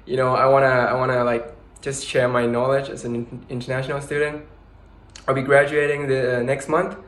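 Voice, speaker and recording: A man's voice: mid-range voice, level tone, brisk, fairly bright tone, echoey room. A man in his twenties hosting a casual podcast chat, giggly and rambling, with lots of fillers. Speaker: podcast host.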